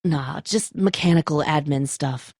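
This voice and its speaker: A woman's voice: low-pitched, measured pace, darkish tone, breathy edge, no room sound, clean studio sound, heavily acted. An actress playing a tough, stressed, protective woman who leads zombie-apocalypse survivors in a dramatic video game, speaking bluntly and with urgency. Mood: weary, explaining.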